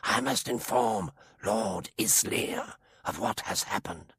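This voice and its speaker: A man voicing a huge, grim male Martian warrior who speaks in a slow, hissing whisper. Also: lowish voice, a bit bright, slightly rough, dry recording, exaggerated voice. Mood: accusing.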